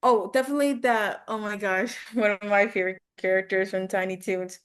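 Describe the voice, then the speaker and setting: A woman's voice: medium pitch, fairly even intonation, a little fast, a bit bright. A woman chatting casually as a student in a voice acting lesson.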